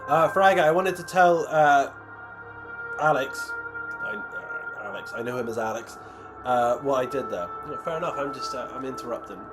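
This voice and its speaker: A man's voice: medium pitch, normal speed. Chatty man streaming over music. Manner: rambling, self-deprecating, reads chat aloud. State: losing his voice, coughing. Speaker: streamer building miniatures. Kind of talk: casual live-stream chat.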